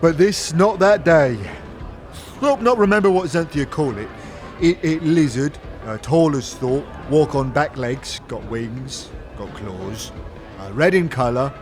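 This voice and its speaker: A man voicing a huge, friendly, simple-minded warrior creature who boasts in halting broken speech, in a fantasy audio drama. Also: a little high, expressive, soft background music. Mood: earnest.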